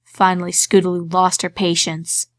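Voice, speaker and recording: A woman reading a dark fan story aloud in a measured way, dropping to a hush on eerie lines. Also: lowish voice, monotone, dry recording, clean studio sound. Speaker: reader.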